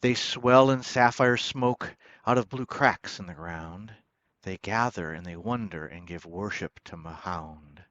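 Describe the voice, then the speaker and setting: Male poetry reader, declamatory and dramatic, very unhurried, shifting from hushed to proclaiming; dry, close sound. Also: low voice, no echo, lo-fi sound. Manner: declamatory, swelling from hushed line endings to loud proclamations.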